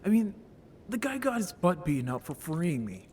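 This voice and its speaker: Man acting as young male merfolk, higher-pitched, swooping lively intonation, naive, earnest, casual, cheeky, audio drama. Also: normal speed, a little hiss or hum. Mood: hopeful, earnest. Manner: casual, hesitant, expressive. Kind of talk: scripted audio drama roleplay.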